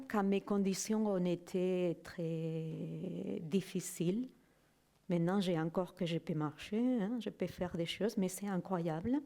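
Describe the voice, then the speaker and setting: A woman speaks slowly and warmly, pausing often as she looks for her words in non-native French. Her voice sits a little low and mellow, and she keeps turning to her listeners with a questioning "non?" as she tells her story. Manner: halting, searching for words, asks "non?" often. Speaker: guest speaker giving a testimony. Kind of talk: slow reflective personal testimony.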